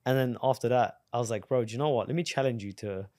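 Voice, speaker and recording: A man's voice: mid-range voice, fast, mellow, no room sound. A male podcast host talks in an enthusiastic, profane way, full of bro slang. His voice sits mid-range and sounds dry and close. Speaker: podcast host and business coach. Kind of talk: casual interview conversation.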